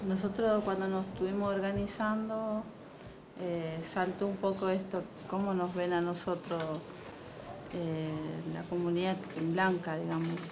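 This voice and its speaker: A woman talks in a group discussion. Her voice is on the low side and hesitant, with noticeable ambient noise around it. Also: little pitch movement, measured pace. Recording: noisy ambience over the voice.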